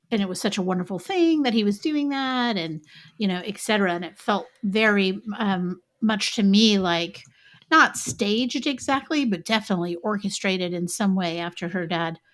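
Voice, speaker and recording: A woman co-hosts a podcast in a loose conversational way, hedging her points with fillers. Her pitch sits in the middle of the usual range for a woman. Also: normal speed, a bit bright. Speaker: podcast co-host. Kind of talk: casual podcast chat.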